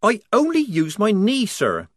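A man's voice: sits high, huge pitch swings, a little slow, fairly bright tone, dry recording, studio quality, pitched above the performer's own voice, exaggerated voice. A man voicing a young woman disguised as a male private, answering carefully and respectfully. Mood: protesting. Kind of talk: audiobook character voice.

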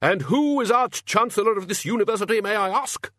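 A man's voice: sits high, lively intonation, brisk, fairly bright tone, dry recording, studio quality, pitched above the performer's own voice. A man voicing a bossy, sarcastic older wizard who runs a university, blustering and emphatic, in a storybook reading. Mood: sarcastic indignation.

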